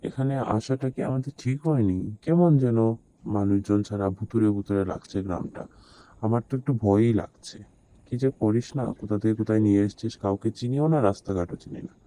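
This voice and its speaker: A man's voice: on the low side, moderate pace, warm tone, natural voice. A man voicing a young city visitor in a horror cartoon, speaking in a casual, conversational way. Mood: uneasy, complaining. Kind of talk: horror cartoon story dubbing.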